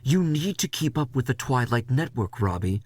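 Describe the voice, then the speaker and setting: Guy doing a stern bossy crew leader for an audio drama, kinda high voice, dismissive and fed up. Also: very animated, moderate pace, darkish tone. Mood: stern, urgent. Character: Leslie, stern male crew boss, exasperated, lecturing.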